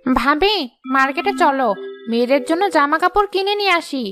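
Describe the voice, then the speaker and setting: A woman's voice: high voice, animated, brisk, fairly bright tone, faint music behind. A woman playing a proud, indulgent young mother in a cartoon, haughty and insistent. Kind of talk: Bengali cartoon moral-story voice acting.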